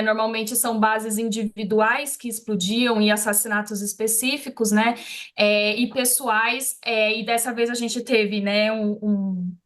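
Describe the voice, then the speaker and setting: A Brazilian woman speaking as an expert guest in a live news interview, explaining in a measured way with frequent tag questions. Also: fairly high pitch, a bit bright.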